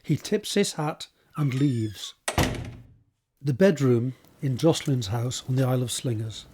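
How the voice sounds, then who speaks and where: A man's voice: medium pitch, measured pace, mellow, slight background noise. A man reading scripted scene narration for an audio drama.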